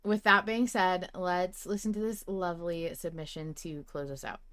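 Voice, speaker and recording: A woman's voice: on the low side, fairly even intonation, moderate pace, a bit bright, no room sound. A woman hosting a fan podcast in casual, effusive chat with easy swearing. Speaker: podcast host.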